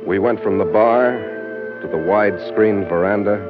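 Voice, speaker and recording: Actor as deep-voiced hard-boiled skipper. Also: male voice, normal speed, lightly acted. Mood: brooding narration. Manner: terse, laconic. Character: tough, wry, hard-boiled sea captain hero. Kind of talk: old-time radio drama acting and narration. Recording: muffled old radio, music behind.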